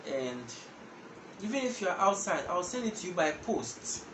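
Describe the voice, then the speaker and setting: A man's voice: sits high, sing-song, normal speed. A young man with a Ghanaian accent hosting a casual language lesson straight to camera, explaining step by step and repeating words as he goes. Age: young adult. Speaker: language teacher and channel host. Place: indoor room with patterned wall. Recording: echoey room with background noise.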